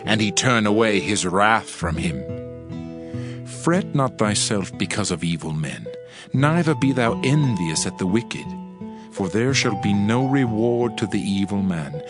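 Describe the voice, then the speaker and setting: A man narrating a dramatized audio Bible in a solemn, declamatory manner. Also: lowish voice. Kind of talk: dramatized scripture reading. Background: music.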